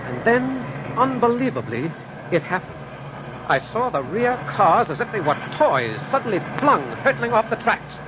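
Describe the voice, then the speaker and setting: Railway guy telling a ghost story, intense n theatrical, pitch all over the place, dull old radio sound. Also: big pitch swings, normal speed. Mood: horrified excitement. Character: earnest railway man recounting a supernatural horror. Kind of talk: 1940s-style dramatic radio narration. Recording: dull, faintly noisy old radio recording.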